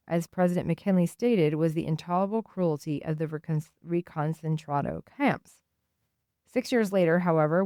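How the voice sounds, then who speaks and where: Woman, radio host, low pitch, clear resonant tone, informal hesitant commentary. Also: warm tone, dry recording, clean studio sound. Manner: hesitant, informal, halting delivery. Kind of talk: casual spoken commentary and reading aloud on radio.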